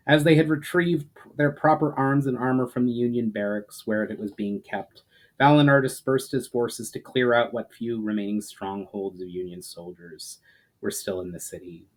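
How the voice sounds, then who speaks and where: A man narrating fantasy fiction as a storyteller, in a dramatic, measured and expressive reading style. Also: mid-range voice, low-quality recording.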